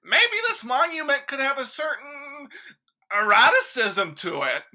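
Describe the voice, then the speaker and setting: A woman playing a brash, eager male monument designer with a blunt, suggestive pitch in a radio comedy sketch. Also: sing-song, a little slow, pushed and bright, full character voice. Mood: persuasive. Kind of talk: old-time radio sketch comedy parody.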